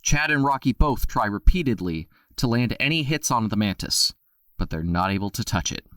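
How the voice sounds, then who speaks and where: Male narrator, low-pitched, steady storyteller, dry booth sound. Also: normal speed, no echo. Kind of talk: scripted narration.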